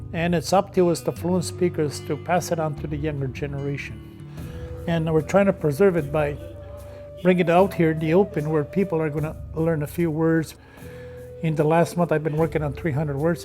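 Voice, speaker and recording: An older man, a visiting language lecturer, telling in a plain, unhurried way how he came to teach. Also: on the high side, steady tone, darkish tone, faint music behind. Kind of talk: documentary interview.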